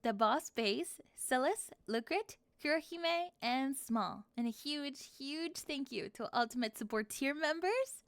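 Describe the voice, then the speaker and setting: High-voiced woman, dry close sound. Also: animated, measured pace, few pauses, fairly bright tone, no echo. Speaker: channel host. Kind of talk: casual video outro with supporter shout-outs.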